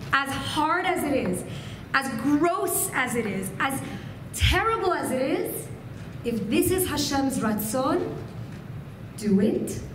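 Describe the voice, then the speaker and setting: A woman in her thirties whose first language is Farsi, giving an expressive, gesturing keynote speech from a podium microphone. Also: high voice. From Persian family. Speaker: keynote speaker. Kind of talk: inspirational speech to women. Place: hotel function room, indoors. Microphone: podium microphone on a stand. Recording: echoey room with background noise.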